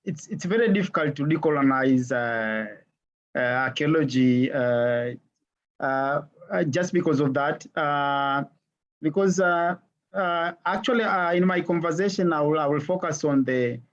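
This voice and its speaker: Halting man on a video call. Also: a little high, highs cut off. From Tanzania. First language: Swahili. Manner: halting, many fillers and restarts. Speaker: archaeologist. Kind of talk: webinar panel answer.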